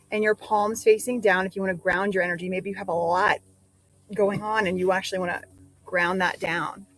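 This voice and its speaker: A woman leading a guided meditation, giving gentle instructions with pauses between phrases. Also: mid-range voice, rough recording.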